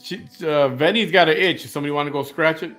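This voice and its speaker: A man's voice: on the high side, fast, a bit bright, soft background music. A man in his fifties from Chicago hosting a live-stream chat show, rambling, opinionated and joking as he reads out viewer comments. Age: 50s.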